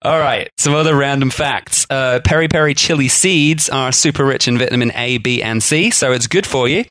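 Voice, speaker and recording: A man of ordinary pitch talks through food history in a loose, chatty podcast manner. He laughs easily at his own attempts at accents. His voice sits close and dry on the mic, with no room around it. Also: normal speed, a bit bright, no room sound. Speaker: podcast co-host. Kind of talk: casual food history chat.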